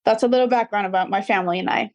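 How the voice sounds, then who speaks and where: 20 yr old woman, earnest and kinda formal, mid pitch. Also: rapid-fire, highs cut off. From Dubai. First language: Arabic. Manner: earnest, reflective, slightly formal. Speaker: guest, recording artist. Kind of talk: interview answers.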